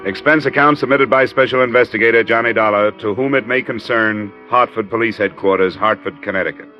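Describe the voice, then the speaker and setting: A man's voice: lowish voice, brisk, soft background music, lightly acted. A man playing a wry, middle-aged American insurance investigator in a clipped, matter-of-fact old radio noir style. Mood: dry, businesslike. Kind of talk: 1940s-50s radio noir acting and narration. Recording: dull old radio recording.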